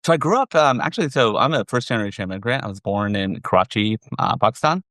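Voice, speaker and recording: A middle-aged man with an American accent, giving measured, explanatory answers as an interview guest. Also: on the low side, animated, a bit bright, no echo, clean studio sound. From southern California, USA. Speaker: interview guest, finance executive.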